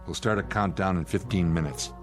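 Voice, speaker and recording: An actor playing a blunt, sardonic spaceship commander in a radio drama, with a commanding, scornful, plain-spoken manner. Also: male voice, low voice, fairly quick, music in the background, close to an everyday voice. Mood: scornful, stern.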